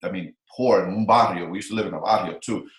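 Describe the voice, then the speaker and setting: A man's voice: low voice, fast. A man hosting a casual interview, speaking with enthusiasm and encouragement and repeating phrases for emphasis. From Dominican Republic. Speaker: interview host. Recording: echoey room.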